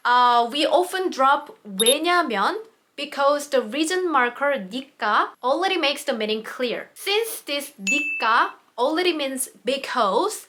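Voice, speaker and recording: A young woman, a native Korean speaker, explaining grammar in English in a casual online lesson and repeating Korean example words slowly. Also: high voice, a bit bright. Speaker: Korean language teacher.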